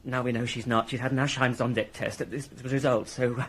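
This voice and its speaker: A man's voice: medium pitch, fairly even intonation, a little fast, fairly bright tone, natural voice. An actor playing an agitated, well-bred British man under suspicion in a radio drama, hesitant and indignant. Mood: relieved, earnest. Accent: British English.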